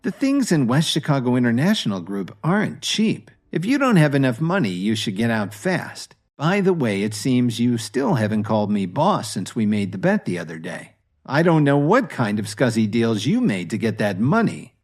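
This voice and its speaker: A man's voice: medium pitch, big pitch swings, normal speed. A man voicing a snobby, taunting woman in an audio drama. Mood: haughty, mocking. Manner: taunting, haughty. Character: snobby, mocking young woman, wife's friend.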